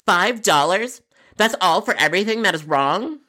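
Storyteller doing an angry entitled lady customer, whiny and combative, voice jumps up high, bright. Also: expressive, normal speed. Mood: outraged. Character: angry, entitled female food delivery customer.

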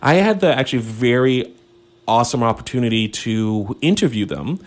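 A Canadian man co-hosting a small community radio program, talking in a loose, rambling way full of "um" and "like." He sounds eager and upbeat as he sets up the next song, with an ordinary mid-pitched voice. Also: very animated, normal speed. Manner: rambling, filler-heavy, enthusiastic. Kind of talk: casual radio chat.

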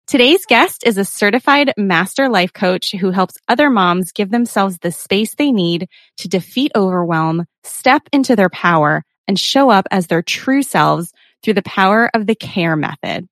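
A woman's voice: mid-range voice, lively intonation, moderate pace, no echo, clean studio sound. A woman hosting a podcast, reading a scripted intro and then chatting with a guest in a soothing, friendly, upbeat way. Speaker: podcast host.

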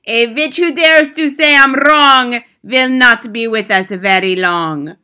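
A woman's voice: on the high side, sharp tone, smooth, voice pushed up. A woman voicing a cruel, furious grand witch from a storybook, screaming imperiously and drawing out her words. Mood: menacing chanting. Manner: screaming, imperious, slow drawn-out emphatic words. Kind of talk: dramatic storybook character voice.

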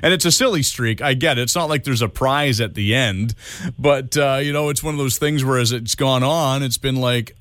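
Relaxed man on the radio. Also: medium pitch, normal speed, no room sound. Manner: relaxed, hesitant, many fillers and restarts. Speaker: radio co-host. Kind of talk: casual on-air chat.

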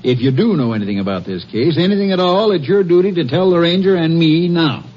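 An actor playing a plain-spoken, stern county sheriff in a 1950s radio western, folksy and earnest. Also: male voice, a little high, animated, fast, close to an everyday voice. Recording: muffled old radio, faint noise.

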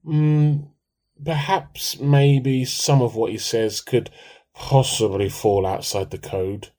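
A man's voice: mid-range voice, slow, lightly acted. A man, playing a timid, comically earnest, melodramatic husband in a radio play. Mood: mock solemn. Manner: pompous, excitable. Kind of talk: amateur radio play dialogue.

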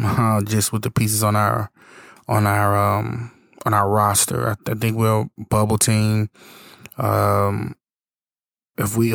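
A hoarse man hosting a casual solo podcast, talking in a halting way with many fillers and restarts. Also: fairly low pitch, dry recording, clean studio sound. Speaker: podcast host and youth basketball coach.

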